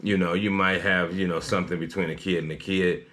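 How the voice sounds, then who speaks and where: Male news commentator, low-pitched, slightly halting read, personal opinion style. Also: steady tone, a bit bright.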